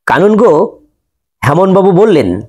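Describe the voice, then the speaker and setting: A man's voice: high-pitched, sing-song, unhurried, darkish tone. A man reading scripted narration as the host of an audio story.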